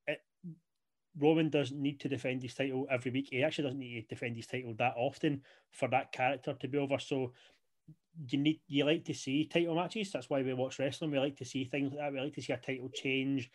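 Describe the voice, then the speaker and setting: Chatty podcast guy. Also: mid-range voice. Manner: rambling, lots of "you know" fillers. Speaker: podcast co-host. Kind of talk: casual chat.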